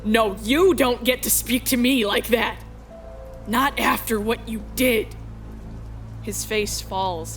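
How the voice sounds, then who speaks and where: A woman's voice: medium pitch, lightly acted. Woman acting anguished, defiant young heroine over music. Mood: defiant, angry, then tense narration. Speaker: voice actor in a fan dub. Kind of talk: dramatic first-person narration of a visual novel.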